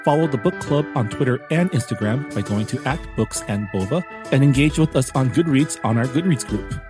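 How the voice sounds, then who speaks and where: A man's voice: mid-range voice, expressive, fairly quick, music in the background. A man hosting a podcast in a casual, conversational style. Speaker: podcast host.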